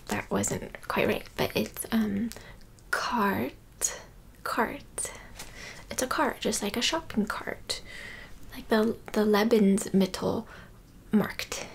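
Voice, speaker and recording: A slightly high-pitched American woman whispers her way through a German lesson, slow and meandering. Her voice has a gravelly edge, and she drops deadpan jokes as she talks. Faint noise sits behind her. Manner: whispery, rambling, deadpan joking. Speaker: language teacher. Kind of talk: whispered ASMR-style German lesson, joking. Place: indoors with books and a map.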